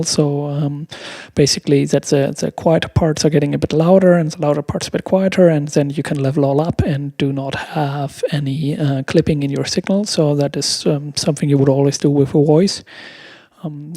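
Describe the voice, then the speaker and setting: A man with a slightly high, mellow voice presents a casual tutorial. He speaks haltingly, often repeating a word while he looks for the next phrase. The sound is close and dry, as from a stand mic at home. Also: no echo. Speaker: music producer giving a tutorial. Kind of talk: casual explanatory software demo. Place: room at home, indoors. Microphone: close microphone on a stand.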